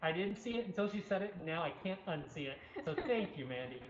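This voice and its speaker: A woman's voice: fairly low pitch, expressive, quick pace, background noise. A woman co-hosting a cat cafe livestream with casual, unscripted chat indoors. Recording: roomy, dull livestream sound with music. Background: background music.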